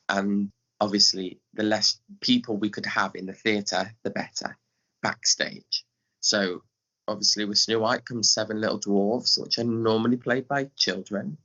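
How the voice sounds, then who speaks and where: Bright, chatty English man, lower-pitched. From Skegness, England. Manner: chatty, informal phrasing. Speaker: podcast guest, theatre director. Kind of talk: casual explanatory chat. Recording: dull, lo-fi audio.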